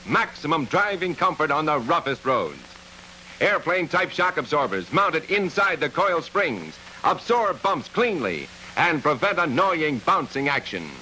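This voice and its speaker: Male 1950s ad announcer, forceful, lively pitch swings, punchy selling, faintly noisy old film sound. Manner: emphatic, punchy salesman delivery. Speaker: commercial announcer. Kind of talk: vintage 1950s car advertisement narration.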